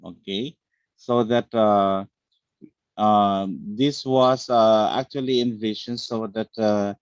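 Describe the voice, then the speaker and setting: A man on an online panel answering a question in a measured, deliberate way, tagging his points with "okay". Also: on the low side, fairly even intonation, clear, resonant tone, dry recording. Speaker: panel expert. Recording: dry, dull lo-fi call audio.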